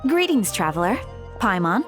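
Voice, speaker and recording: A woman playing a composed young guild receptionist in a video game, speaking in a polite, measured way. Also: medium pitch, sing-song, music in the background, close to an everyday voice. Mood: composed, knowing.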